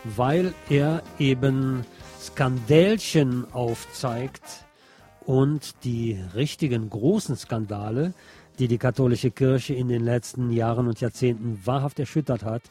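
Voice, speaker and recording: A man's voice: mid-range voice, dark tone. A man hosting a radio show, reviewing films in a partly scripted, partly conversational way, with opinionated asides to his listeners. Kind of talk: film review, part scripted narration, part conversational.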